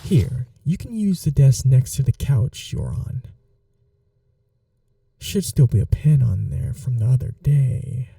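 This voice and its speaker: A man playing a calm, flirty, protective mafia boss in a soft-spoken ASMR roleplay, unhurried and reassuring with a teasing edge. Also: medium pitch, vocal fry, no room sound, recorded from a distance, exaggerated voice. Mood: dry, businesslike.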